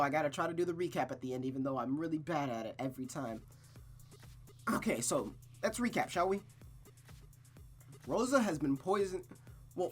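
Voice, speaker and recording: A man with a fairly high voice hosts a story game stream over faint music. He reads narration aloud and chats casually about the plot, with slangy, exclamatory phrasing and emphatic repetition. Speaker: streamer reading a visual novel aloud. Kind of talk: narrated reading and casual, profane recap chat.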